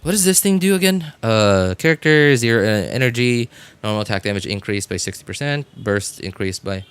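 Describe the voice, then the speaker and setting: A man's voice: mid-range voice, animated, fairly quick, clean tone, no room sound. A man game livestreamer chatting casually, thinking aloud in a hesitant way and often repeating himself. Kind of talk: casual livestream chat while theorycrafting a game.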